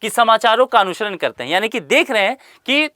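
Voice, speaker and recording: A woman teaching a current affairs lesson for exam students, with a lively, rising and falling voice. She speaks straight to the viewer, asks rhetorical questions and gives everyday examples. The recording is close and dry. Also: on the high side, fairly quick, dry recording. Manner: explanatory, addresses viewers directly with rhetorical questions.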